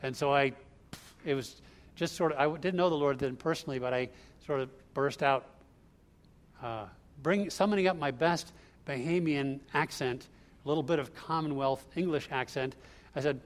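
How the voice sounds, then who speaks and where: A man with a somewhat high voice delivers a sermon from the pulpit. He walks through a verse step by step in a relaxed, conversational manner, mixing teaching with personal stories. He grew up in the US Northeast. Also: moderate pace. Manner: conversational, explains line by line. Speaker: preacher. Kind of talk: expository sermon.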